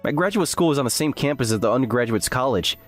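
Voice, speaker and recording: The hapless, aggrieved student hero narrating an animated campus tale, acted by a man, voice rising and falling over quiet music. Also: medium pitch, quick pace, lightly acted. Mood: despairing. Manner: aggrieved, self-deprecating. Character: earnest, hapless male graduate student telling his story. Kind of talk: first-person scripted narration with acted dialogue.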